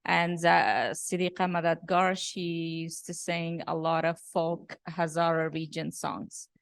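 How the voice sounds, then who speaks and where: Gravelly low-voiced woman filmmaker. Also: fairly even intonation, slow pace. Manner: thoughtful, many fillers and restarts. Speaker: documentary director. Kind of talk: post-screening Q&A talk.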